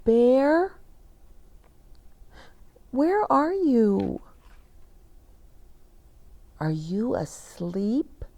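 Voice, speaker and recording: A woman's voice: fairly high pitch, sing-song, very slow, pauses often, mellow, pitched above the performer's own voice, full character voice. A woman voicing an eager, hopeful young boy in a children's storybook, exclaiming plaintively. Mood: anxious, pleading. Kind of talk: children's storybook read-aloud.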